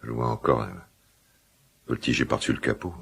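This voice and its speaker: Gravelly, very deep-voiced troubled father. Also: flat delivery, a bit bright, exaggerated voice. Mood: guilty, shaken. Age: middle-aged. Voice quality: gravelly, husky smoker's voice. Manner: hesitant, halting, confiding. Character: guilt-ridden middle-aged father, awkwardly tender smoker. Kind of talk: naturalistic French radio drama dialogue.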